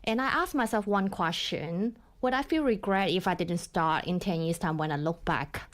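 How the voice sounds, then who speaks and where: A woman's voice: fairly low pitch, moderate pace. A woman leadership coach, a guest on a radio show, telling her career story in an earnest, reflective way with small slips of grammar. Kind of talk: interview storytelling.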